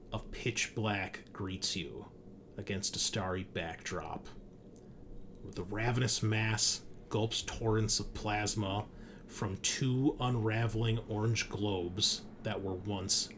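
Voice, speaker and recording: Male tabletop RPG host, lower register, sci-fi horror game master, slight room noise behind him. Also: a bit bright. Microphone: streaming setup at a computer. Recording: background noise, room ambience.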